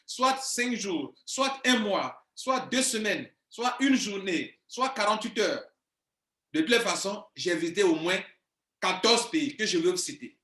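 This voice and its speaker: Guy in his 40s, high voice, pushy and emphatic, echoey room. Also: pushed and bright. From Ivory Coast. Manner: insistent, emphatic, repeats himself. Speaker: online business coach. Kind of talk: video monologue to viewers.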